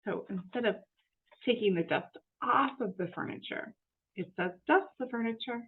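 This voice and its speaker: A woman reading a storybook aloud to young children in an expressive, teacherly way, with explanatory asides and questions to the listeners. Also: medium pitch, normal speed, vocal fry, breathy edge, narrow, tinny audio.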